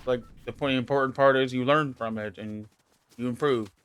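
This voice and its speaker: A man's voice: mid-range voice, steady tone, normal speed, a bit bright, clean tone, no room sound. A man streaming live who chats in a laid-back, reassuring way and swears casually while he plays. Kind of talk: casual chat while gaming.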